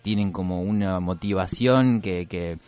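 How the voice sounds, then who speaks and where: Male radio host, low-pitched, Rioplatense accent. Informal, agreeable, conversational. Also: a little slow, darkish tone. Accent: Rioplatense Spanish. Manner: agreeable, informal, frequent affirming interjections. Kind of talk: casual on-air chat.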